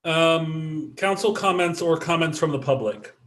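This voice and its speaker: A man chairing a city council meeting, moving briskly through the agenda with light asides. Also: a little high, a bit bright.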